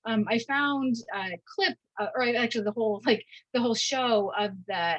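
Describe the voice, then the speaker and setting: A woman giving an informal academic lecture, speaking haltingly and often checking in with "right?". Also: a little high, fairly bright tone, dry recording, muffled recording. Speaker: university professor giving a lecture.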